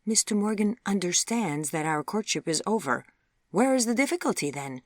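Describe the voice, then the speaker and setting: A woman's voice: medium pitch, animated, moderate pace, no room sound, pitched above the performer's own voice, lightly acted. A woman narrator plays a defiant young lady from a storybook period romance. She is haughty and stubborn, and speaks formally. The sound is dry and close.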